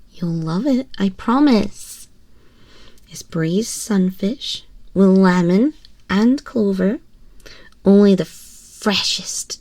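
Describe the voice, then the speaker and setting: A woman's voice: medium pitch, darkish tone, no room sound. A woman voicing a haughty, teasing, domineering young empress in an ASMR roleplay, condescending and often dropping toward a whisper. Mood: mock-soothing. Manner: condescending, slow, breathy purring with whispers. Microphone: close binaural microphone.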